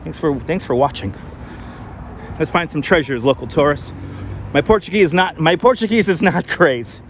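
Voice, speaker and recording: A man's voice: fairly high pitch, moderate pace. Chatty man with a mild New York accent. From New York City. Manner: chatty, thinks aloud with fillers and restarts. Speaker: live stream host. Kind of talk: casual walking live stream, chatting with viewers. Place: outdoors on city streets. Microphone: wireless clip-on mic. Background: faint street traffic.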